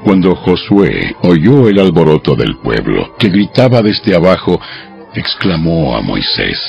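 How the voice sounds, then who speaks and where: A man's voice: deep voice, sing-song, a little slow, gravelly, a little mellow, highs cut off, faint music behind. A man delivering dramatized scripted Bible narration as the narrator.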